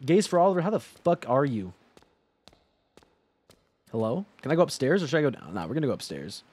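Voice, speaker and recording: A young man in his twenties streaming a horror game from home on a headset mic, joking loudly and swearing as he talks to chat. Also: medium pitch, fairly quick, slightly rough. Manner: loud, swearing, joking, animated. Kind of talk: casual gameplay commentary with chat. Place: home gaming room, indoors.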